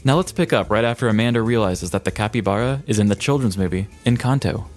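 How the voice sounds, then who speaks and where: A man's voice: lowish voice, lively intonation, fast talker, darkish tone, faint music behind. A man co-hosting a podcast, chatting casually and reading out fun facts with a playful, wry, cheeky manner. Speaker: podcast co-host. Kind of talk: casual comedic chat with read-out fun facts.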